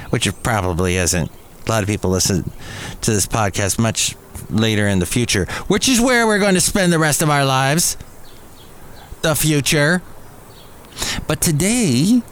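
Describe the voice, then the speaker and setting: A middle-aged American man hosting a podcast alone, talking casually in a rambling, jokey way with self-mocking asides. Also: mid-range voice. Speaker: podcast host. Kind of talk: casual solo podcast monologue. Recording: clearly audible background noise.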